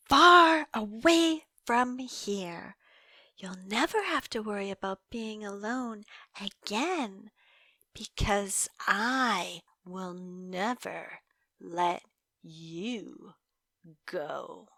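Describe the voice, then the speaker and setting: An obsessive young yandere woman, acted by a female performer in an audio roleplay, speaking very slowly in a high, swooping voice. She coos and teases with sweet menace, dropping at times into whispers. The sound is close and dry. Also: lively intonation, pushed and bright, no echo, heavily acted. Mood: adoring, obsessive. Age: young adult. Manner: cooing, teasing, slow and deliberate, breaking into whispers. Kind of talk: intimate scripted roleplay monologue.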